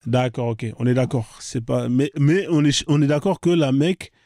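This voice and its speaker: A man's voice: mid-range voice, normal speed, dark tone, dry recording, clean studio sound. A man hosting a live online debate, pressing his guest with repeated, insistent questions.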